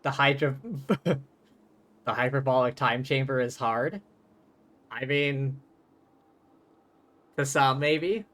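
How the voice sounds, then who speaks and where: A man's voice: medium pitch, slow pace, long pauses, fairly bright tone. A man streaming a video game, giving casual live commentary, musing aloud in a jokey way.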